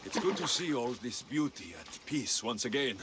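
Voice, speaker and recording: A male actor playing a steady, dutiful ancient Greek mercenary hero in a video game cutscene. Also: medium pitch, moderate pace, noisy background, natural voice. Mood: sincere. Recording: loud music behind the voice.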